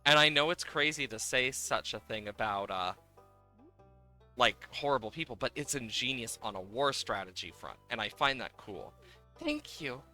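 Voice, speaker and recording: A man's voice: medium pitch, normal speed, pushed and bright. A man chatting casually and enthusiastically as he streams a game, rambling from thought to thought. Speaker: game livestream host. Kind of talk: casual chat over gameplay, reading game dialogue aloud. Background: video game music.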